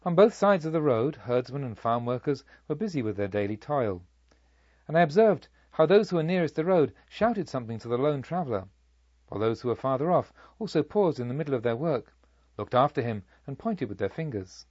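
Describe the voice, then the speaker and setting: A proud robber chief, played by a male audiobook reader, recounts his deeds in formal, measured phrases. His mid-pitched voice rises and falls dramatically, with vengeful indignation. The recording is dry and a little dull. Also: big pitch swings, darkish tone, dry recording. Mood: brooding. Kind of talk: audiobook story narration in character.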